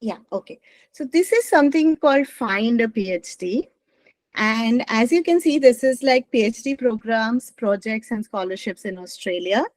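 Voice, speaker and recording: A woman's voice: on the high side, lively intonation, normal speed, darkish tone. A middle-aged academic woman, a native Gujarati speaker, gives a presentation over a video call. Her talk meanders and corrects itself, with frequent fillers. The sound is dry and close, with little room echo. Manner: rambling, self-correcting, apologetic. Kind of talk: online presentation.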